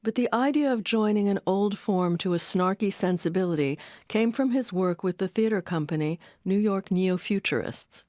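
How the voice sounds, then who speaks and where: A woman giving measured, articulate scripted narration as the narrator of a documentary. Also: medium pitch, darkish tone, no room sound, studio quality.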